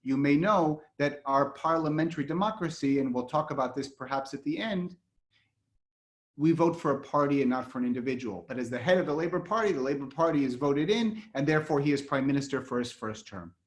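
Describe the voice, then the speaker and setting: A man's voice: on the high side, fairly quick. A man from Israel leads an online history lecture over a video call, explaining in a conversational way with frequent fillers and restarts. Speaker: lecturer and guide for an online series.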